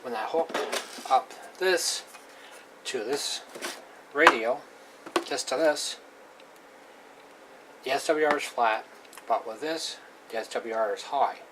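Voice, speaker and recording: A man giving a casual, unscripted hands-on demonstration of radio gear, plain-spoken and halting, often repeating himself. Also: mid-range voice. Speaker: hobbyist presenter demonstrating radio equipment. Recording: clearly noisy amateur recording.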